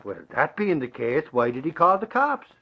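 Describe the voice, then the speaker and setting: A good-natured, blustery reporter sidekick in a vintage radio serial. The voice sits high for a man and is folksy and exclamatory, with a slightly dulled recording. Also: big pitch swings, normal speed, slightly rough, lo-fi sound, exaggerated voice. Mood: chatty, puzzled. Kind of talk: old-time radio drama acting.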